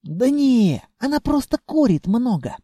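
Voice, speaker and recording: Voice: medium pitch, sing-song, unhurried, mellow, no echo, clean studio sound, pitched above the performer's own voice. Чтец озвучивает молодую продавщицу-блондинку из аудиокниги, заносчивую, мелочную сплетницу с манерной речью. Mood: confiding. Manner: affected, huffy, confiding. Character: snooty young blonde saleswoman, gossipy, petty, xenophobic.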